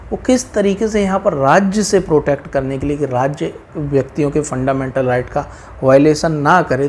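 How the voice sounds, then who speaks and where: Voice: mid-range voice, darkish tone, a little hiss or hum. A speaker with an Indian accent explaining law informally to viewers, repeating points and mixing in English legal terms.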